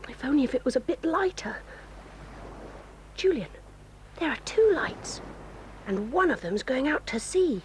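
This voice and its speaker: A woman's voice: high-pitched, very animated, a little slow, long pauses, a little hiss or hum. A woman voicing a bold, tomboyish teenage girl in an audio drama, talking in eager, breathless whispers. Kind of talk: 1980s radio-style audio drama.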